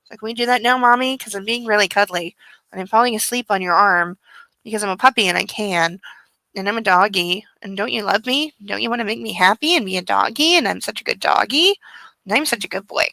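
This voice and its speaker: A woman's voice: mid-range voice, a little fast, gravelly, sharp tone, no echo, low-quality recording. A woman voicing a needy, cuddly male puppy who pleads babyishly and repeats himself to beg for affection. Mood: sleepy pleading. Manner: pleading, babyish, repetitive phrasing.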